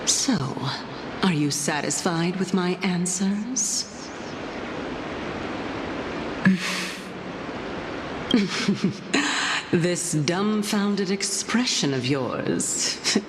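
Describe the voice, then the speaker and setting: A woman playing a sly, elegant, teasing schemer in a video game, with a coy, theatrical, drawn-out delivery. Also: on the low side, animated, background noise. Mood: teasing, amused. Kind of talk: video game character dialogue. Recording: background music under the voice.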